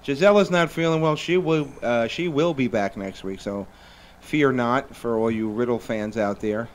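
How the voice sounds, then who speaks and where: A man's voice: medium pitch, normal speed. A man hosting a live talk radio show, chatting loosely and reading sponsor plugs with lots of fillers. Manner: rambling, filler-heavy, upbeat plugs. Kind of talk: casual radio chat with sponsor reads.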